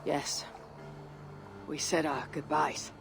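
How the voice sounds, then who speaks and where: An actress playing a weathered Viking warrior woman in a game cutscene, speaking solemnly and formally with a husky, sometimes breathy voice. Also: deep voice, fairly even intonation, over music. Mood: earnest. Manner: measured, solemn, formal phrasing. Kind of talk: dramatic video game cutscene acting.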